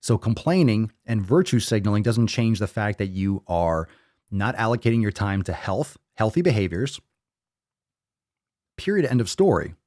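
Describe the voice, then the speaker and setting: A man's voice: on the low side, very animated, normal speed, darkish tone, dry recording, studio quality. A man in his thirties hosting a fitness podcast, lecturing bluntly with plenty of swearing. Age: 30s. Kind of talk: ranting livestream talk.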